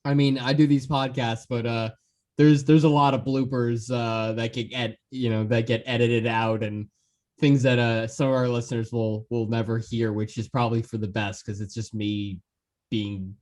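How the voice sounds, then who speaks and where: A male podcast host with a mid-pitched voice talks in a casual interview style. His manner is enthusiastic and conversational. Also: little pitch movement, normal speed, darkish tone.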